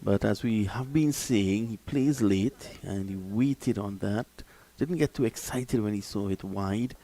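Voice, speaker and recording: A man calling live cricket in a lively, chatty way, swelling louder on big moments. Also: on the low side, unhurried. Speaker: cricket commentator.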